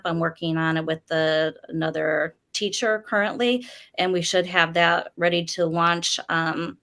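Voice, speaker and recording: A woman in her fifties from the Midwest, a longtime teacher chatting as a guest, laughing often and sounding excited. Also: on the low side. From Midwest of the United States. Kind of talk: casual chat.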